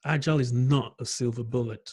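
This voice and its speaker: A man from Nigeria, an agile marketing consultant, telling his story as a guest in a conversational interview. He talks in a reflective, unhurried way and often fills with "yeah". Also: medium pitch, steady tone, darkish tone, husky. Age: adult.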